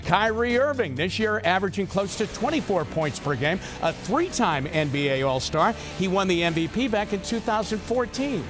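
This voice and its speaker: A man's voice: high-pitched, sing-song, moderate pace, few pauses. An American man anchoring a TV sports report, delivering news and commentary in punchy, emphatic short phrases over music. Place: TV studio.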